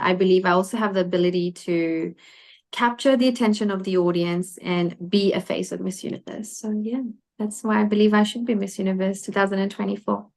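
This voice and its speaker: Young woman on a video call, confident pageant-answer kind of voice, earnest. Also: lowish voice. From Fiji. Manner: poised, earnest, self-assured. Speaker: pageant contestant guest. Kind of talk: interview answers.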